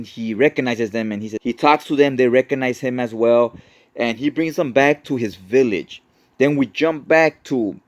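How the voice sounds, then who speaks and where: A man's voice: mid-range voice, a little mellow. A man narrating a casual, conversational recap of a story, explaining it as he goes and checking in with the listener. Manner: conversational, explanatory, often adds tag questions. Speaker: comic book explainer, host.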